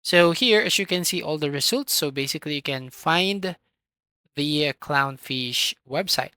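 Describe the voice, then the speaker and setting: A tutorial host giving step-by-step software instructions in an instructional manner, with a voice recorded close to the microphone. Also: medium pitch, expressive, normal speed, clean tone, dry recording.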